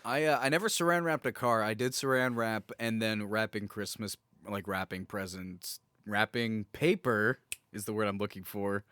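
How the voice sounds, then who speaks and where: A man's voice: on the low side, normal speed, a bit bright, smooth, no room sound. A man co-hosting a podcast, telling anecdotes in a wry, informal, joking manner. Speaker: podcast co-host. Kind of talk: casual chat, telling anecdotes.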